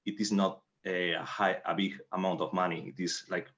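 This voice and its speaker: Deep-voiced Spanish man, even and muffled. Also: normal speed. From Spain. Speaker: playwright. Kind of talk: answering in a panel Q&A.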